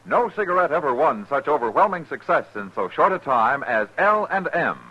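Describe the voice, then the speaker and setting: An American man reading a sponsor's commercial as a 1950s radio announcer, with an emphatic sales pitch. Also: very animated, normal speed, music in the background. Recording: dull 1950s radio recording.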